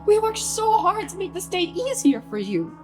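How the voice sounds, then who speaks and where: Woman acting angry wounded bride, very high voice, emphatic, sweary, naturalistic radio drama, soft music behind. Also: big pitch swings, normal speed. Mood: furious, hurt. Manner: scolding, emphatic, profane, pleading. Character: hurt, furious bride confronting her famous sister.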